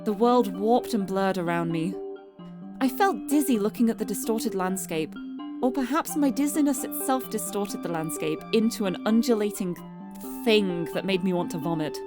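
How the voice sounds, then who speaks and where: A woman streamer reading visual novel narration aloud, expressively and dramatically, with casual asides to viewers. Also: a little high. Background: music.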